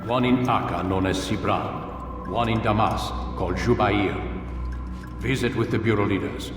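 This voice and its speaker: Video game mentor, male actor as older leader of assassins: grave, calm, measured oratory, distant in a reverberant hall over clear music. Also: fairly low pitch, natural voice. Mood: grave, commanding. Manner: measured, sage-like, deliberate, oratorical. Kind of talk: dramatic video game cutscene acting.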